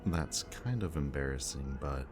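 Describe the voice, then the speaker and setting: A man speaking a gentle, hesitant roleplay monologue to the listener as a soft-spoken villain. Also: very deep voice, expressive, measured pace, music in the background.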